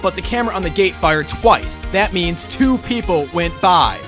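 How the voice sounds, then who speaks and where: Guy voicing a persistent young defense attorney, game fan dub, kinda high male voice, pointed questions, music behind. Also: expressive, normal speed. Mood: pressing. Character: earnest young male defense lawyer, persistent, slightly sheepish.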